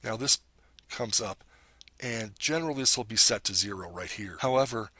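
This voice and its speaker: Man, deep voice, bright husky tone, software tutorial, step-by-step explanation, dry recording. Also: normal speed, no room sound. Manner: plain, explanatory, with occasional self-corrections.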